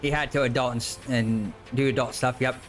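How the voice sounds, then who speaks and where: A man's voice: medium pitch, fairly even intonation, fairly quick, faint music behind. A man in his early forties chatting casually and informally as he streams a game. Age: early 40s. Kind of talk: casual chat while gaming.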